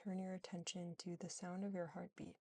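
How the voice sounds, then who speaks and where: A woman leads a guided relaxation in a low-leaning, soothing voice with a slight fry. She moves gently and without hurry from phrase to phrase, leaving space between them. The sound is close and dry. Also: flat delivery, dry recording. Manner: soothing, gentle, unhurried with long pauses. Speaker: yoga nidra guide.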